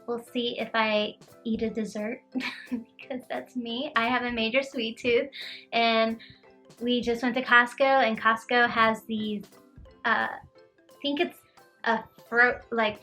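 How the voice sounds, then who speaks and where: Slow, chatty young woman. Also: fairly high pitch, pushed and bright. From Georgia, USA. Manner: chatty, rambling, giggly. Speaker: lifestyle vlogger. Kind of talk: casual vlog chat to camera.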